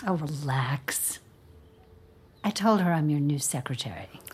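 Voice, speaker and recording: A woman's voice: low voice, expressive, measured pace, darkish tone, slightly rough, exaggerated voice. An actress playing Diana's spiteful older mother, who mocks and threatens her daughter in a theatrical, menacing way. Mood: taunting.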